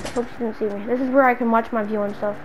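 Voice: mid-range voice, fairly even intonation, very fast, noisy background, lightly acted. A young performer playing a brash, exasperated young man in an improvised game roleplay, slangy and repeating bro and man.

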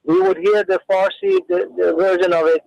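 High, gravelly male caller. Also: very animated, moderate pace, a little mellow, no echo, dull audio. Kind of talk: phone-in anecdote.

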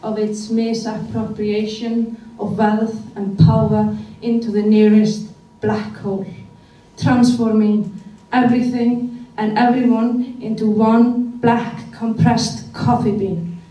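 Picked up from across a small room that echoes, a young woman reads her poem in a dark, mellow voice. She takes it slowly, placing each line with care. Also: fairly high pitch, flat delivery, recorded from a distance. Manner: slow, deliberate reading. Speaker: poet. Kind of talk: poetry reading. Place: small gallery room with audience. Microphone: stand mic, filmed from far camera.

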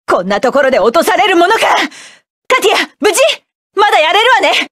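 A proud young woman pilot barks sharp, defiant commands in battle in a game. Her voice sits very high, and the studio recording is close and completely dry, with no room sound. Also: very animated, normal speed, dry recording, exaggerated voice. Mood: fierce, defiant. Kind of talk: game character voice lines.

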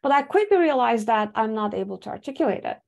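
Gravelly-voiced woman. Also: on the high side, animated, fast talker, a little mellow, highs cut off. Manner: thoughtful, frequent fillers and restarts. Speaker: academic speaker. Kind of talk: webinar talk reading from slides. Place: home room indoors. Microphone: video call webcam.

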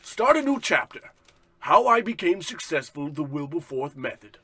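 Pompous male business magnate for a radio comedy: high, husky, wildly swooping, self-important bluster. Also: big pitch swings, moderate pace, fairly bright tone, no echo, heavily acted. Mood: imperious. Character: pompous boastful male industrial tycoon, bullying boss. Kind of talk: parody of old radio drama acting.

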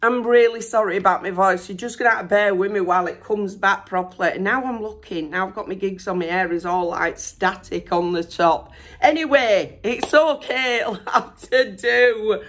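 A middle-aged woman chatting excitedly to camera from her bedroom, her voice still hoarse after losing it to illness. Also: medium pitch, moderate pace. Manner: chatty, excited, self-deprecating. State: hoarse, recovering from lost voice. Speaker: vlogger. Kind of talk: casual chat. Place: bedroom at home, indoors.

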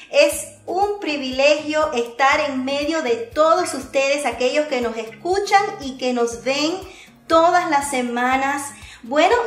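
Female radio host, middle-aged, high voice and slow delivery, roomy room with music behind. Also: a bit bright. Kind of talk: interview hosting. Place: small indoor set with curtains.

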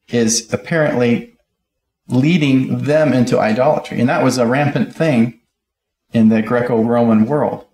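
A man's voice: mid-range voice, reverberant. A middle-aged man preaching a sermon at a pulpit, reading scripture aloud and explaining it verse by verse. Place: church auditorium, speaking at a pulpit.

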